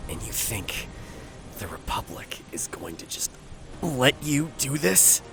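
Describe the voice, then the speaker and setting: Dude doing a snarky space skipper, sing-song and cocky, music behind. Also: male voice, mid-range voice, big pitch swings, slow pace, vocal fry, heavily acted. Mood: mocking. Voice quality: sarcastic, taunting. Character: wisecracking adult male ship skipper. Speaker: hero. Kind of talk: fan audio drama acting. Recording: music underneath.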